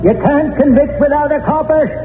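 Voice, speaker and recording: A man's voice: very high-pitched, normal speed, background noise. A man acting a surly, uneducated murder defendant with belligerent, folksy speech in a 1940s radio crime drama. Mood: desperate, outraged. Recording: tinny 1940s radio recording with music.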